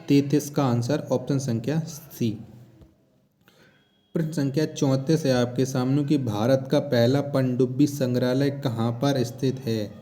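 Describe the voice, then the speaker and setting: Mellow-voiced male exam coaching teacher. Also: mid-range voice. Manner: repetitive, asks then repeats answers. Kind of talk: quiz lesson, question then answer.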